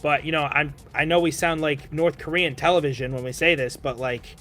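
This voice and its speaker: A man's voice: fairly high pitch, steady tone, a little fast, fairly bright tone, faint music behind. A man casting a live esports stream, recapping results in a chatty way full of "you know.". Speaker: esports caster.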